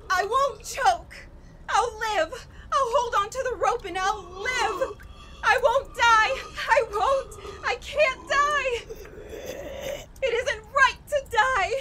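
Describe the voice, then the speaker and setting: An actress playing a desperate, guilt-ridden woman in a horror radio play, halting and repeating herself as she pleads through tears. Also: extremely high pitch, sharp tone, slight background noise, exaggerated voice. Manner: halting, repetitive, sobbing, pleading. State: crying, panicked.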